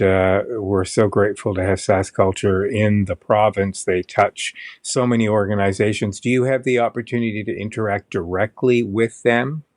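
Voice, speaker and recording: A man speaks as a podcast interviewer. He asks questions conversationally, with a courteous, measured manner. His pitch is mid-range and the sound is fairly dry, with little room echo. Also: a little mellow.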